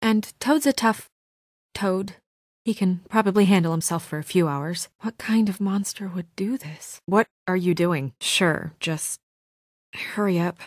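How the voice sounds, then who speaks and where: A composed, earnest young woman in a story-driven video game. Her voice sits slightly low and is captured very dry. She speaks with guarded, dutiful care, halting a little as worry shows through. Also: no echo. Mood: firm, practical, then urgent whisper, then businesslike, uneasy. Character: composed, earnest young female office administrator, anxious and dutiful. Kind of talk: naturalistic video game drama acting.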